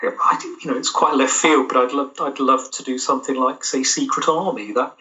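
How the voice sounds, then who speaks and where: Bright-voiced man, slightly dull recording. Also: medium pitch, steady tone, moderate pace. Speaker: audio drama producer, interview guest. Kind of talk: casual interview answers. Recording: dull, lo-fi call-like sound.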